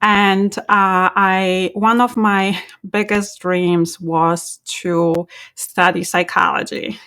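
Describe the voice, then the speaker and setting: Woman, 40s, bright clear voice, chatty guest telling her story, lots of uhs and laughs. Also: mid-range voice. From Poland. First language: Polish. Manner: animated storyteller, laughs often, many filler uhs. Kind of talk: casual interview, life story.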